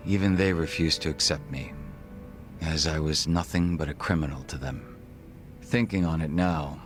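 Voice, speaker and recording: A man voicing a refined, reserved gangster in a video game cutscene, speaking in formal, measured, polite phrases. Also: extremely low pitch. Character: refined, reserved Korean gangster, a former body double.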